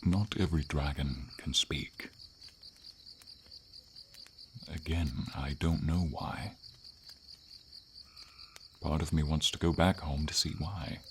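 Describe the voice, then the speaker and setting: A deep-voiced, friendly silver dragon, played by a man, speaks as a gentle companion in a fantasy ASMR roleplay. The delivery is unhurried, thoughtful and a little formal, with pauses. Faint nature ambience of crickets and light rain sits behind the low voice. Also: a little hiss or hum. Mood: hesitant, pensive. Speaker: voice actor. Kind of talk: ASMR fantasy roleplay, slow intimate storytelling.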